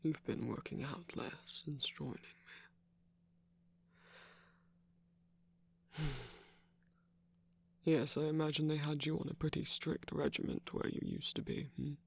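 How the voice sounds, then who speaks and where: A man playing an affectionate, concerned villain in an intimate ASMR roleplay, speaking softly and deliberately with a husky, breathy, creaky voice. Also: fairly high pitch, steady tone, dry recording. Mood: tender, probing. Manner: soft, deliberate, tender coaxing with whispered phrase endings. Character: affectionate, concerned male villain, slim and sickly.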